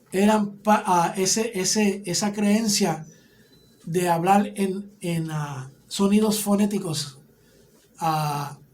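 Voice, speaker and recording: Un hombre con acento puertorriqueño que enseña una clase bíblica, explicando paso a paso y preguntando "¿ok?" a menudo. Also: male voice, sits high, a little mellow. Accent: Puerto Rican Spanish. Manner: explanatory, often checks in with "¿ok?". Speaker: Bible teacher. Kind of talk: teaching a Bible class.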